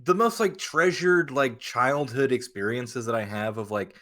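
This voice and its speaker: A man's voice: medium pitch, unhurried, bright, forceful tone. A man co-hosting a podcast in casual chat, emphatic and sweary, often acting out imagined quotes. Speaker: podcast host.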